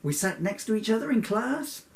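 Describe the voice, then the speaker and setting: Exasperated male spectre, played by a man reading aloud, pitched up and animated, pleading with indignation, comic storybook. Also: normal speed, creaky, far from the mic, slight background noise, exaggerated voice. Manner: archaic, insistent, increasingly exasperated. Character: wretched, indignant male ghost of business partner.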